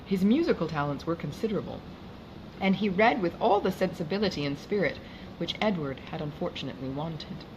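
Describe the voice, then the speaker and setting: A woman narrates a classic novel in a fairly low voice. Her delivery is formal and expressive, with lively movement in pitch. Noticeable noise runs behind the recording. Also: a little fast. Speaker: audiobook reader.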